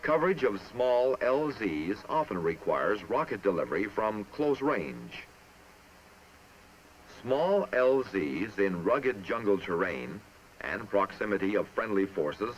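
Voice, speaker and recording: A man narrating a military training film in a formal, measured, instructive manner, reading from a script. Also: fairly low pitch, sing-song. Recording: muffled, noisy old film soundtrack.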